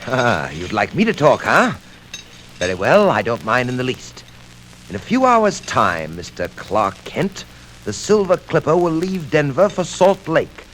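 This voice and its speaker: Old radio crime boss, cold and suave, very animated menace, hissy record. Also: male voice, medium pitch, measured pace, rough recording. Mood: smug menace. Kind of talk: 1940s radio acting. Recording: old radio show record with surface hiss.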